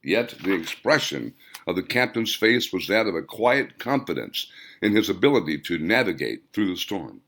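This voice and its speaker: A man reading his own fiction aloud as scripted audiobook narration, in a deliberate manner. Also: low-pitched, very animated, creaky, a bit bright.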